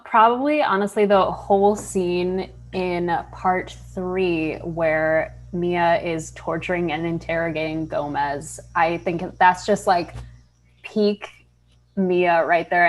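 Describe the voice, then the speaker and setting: Female actor, slightly low voice, slow, hesitant, casual interview answer. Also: fairly even intonation. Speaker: voice actor, cast member.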